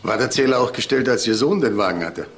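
An actor playing a suspicious detective sidekick with a dry, sardonic way of talking in a crime radio drama. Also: male voice, mid-range voice, animated, quick pace, slight background noise, lightly acted.

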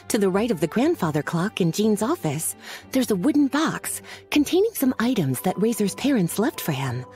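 Casting: woman as languid witch librarian, anime game; dark, velvety tone, lively intonation, teasing and knowing. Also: medium pitch, close to an everyday voice. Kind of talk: anime video game voice acting.

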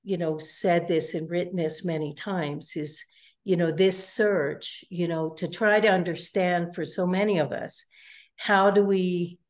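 A woman interviewer asking long, measured questions over a video call from home, often saying "you know". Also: fairly low pitch, steady tone. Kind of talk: conversational interview.

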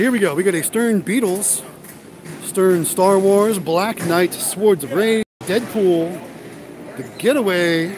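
A man's voice: high-pitched, noisy background. A man hosting a casual livestream, chatting to viewers as he walks a large warehouse auction. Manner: chatty, addresses viewers as guys. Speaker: vending business vlogger and livestream host.

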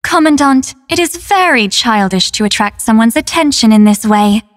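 A woman playing a solemn, tender female android soldier in a video game, speaking in a measured, formal, earnest way. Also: on the high side, animated. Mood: courteous. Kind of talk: English dub game voice acting.

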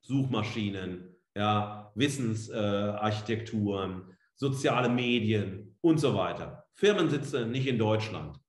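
A man's voice: on the low side, expressive, slow, hardly any pauses. A middle-aged man gives an emphatic, freely spoken online lecture, leaning on rhetorical questions and short tag words. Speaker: professor giving a guest lecture. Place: indoor room, on video call. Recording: roomy, echoing indoor sound.

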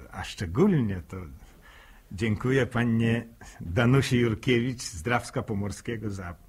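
Bashful, husky young villager. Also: male voice, mid-range voice. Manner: bashful, hesitant, repeating words. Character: shy, grateful young village man. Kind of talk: 1960s radio acting, informal thank-you speech.

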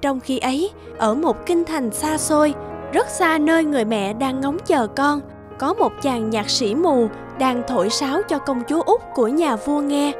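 A female narrator reads a scripted fairy tale. Her voice is high-pitched, and light music plays softly behind her. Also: moderate pace.

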